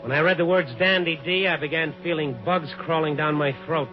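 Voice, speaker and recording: Actor as hard-boiled reporter, old dull radio recording. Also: male voice, fairly high pitch, fairly even intonation, brisk, soft background music, lightly acted. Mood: grave narration. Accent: American. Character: weary, wry newspaper reporter narrating his night's adventure. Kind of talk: 1950s radio drama acting and narration.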